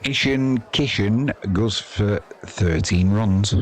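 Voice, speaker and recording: A man's voice: lowish voice, slow pace. A man giving casual live cricket commentary as host, with wry, jokey asides. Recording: crowd noise behind the voice.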